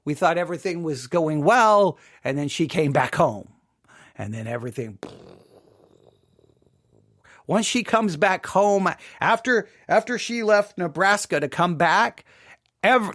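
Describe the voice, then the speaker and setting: A man's voice: high-pitched, fairly bright tone, no room sound, studio quality. A man hosting a podcast with informal personal commentary, emphatic, often repeating and rephrasing himself. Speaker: podcast host.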